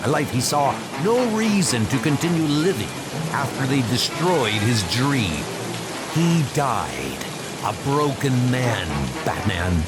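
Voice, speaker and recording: A man's voice: mid-range voice, sing-song, takes it slowly. A man acting a pompous, scheming villain bent on revenge in a comic dub, theatrical in his delivery. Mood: brooding, menacing. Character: Colonel Blimp, bitter scheming male villain seeking revenge. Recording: loud background music. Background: music.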